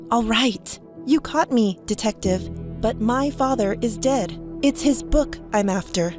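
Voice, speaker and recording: Lady doing a defiant lady magician, highish voice, wry and sure of herself, audio drama. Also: slow pace, music in the background. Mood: guarded. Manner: wry, self-assured. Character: guarded, defiant female stage magician.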